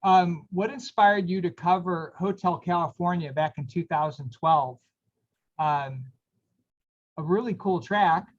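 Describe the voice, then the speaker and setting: A man's voice: high voice, a little slow, lo-fi sound. A man hosting a radio interview, asking questions and reacting with enthusiastic short affirmations. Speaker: radio show host interviewer. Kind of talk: casual interview.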